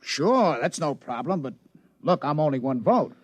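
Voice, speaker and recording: Brash, wisecracking casino boss; lively delivery, old radio drama. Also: male voice, on the high side, moderate pace, no room sound. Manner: brash, wisecracking, laughs a lot. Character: brash male casino boss, fast-talking gambler. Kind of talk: 1950s radio drama acting. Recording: dull old radio broadcast.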